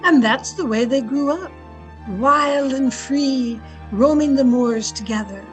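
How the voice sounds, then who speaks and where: A woman's voice: sits high, slow, faint music behind. A woman playing a storyteller in an old-time radio drama, reflective and dramatic as she recalls a family's past. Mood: wistful.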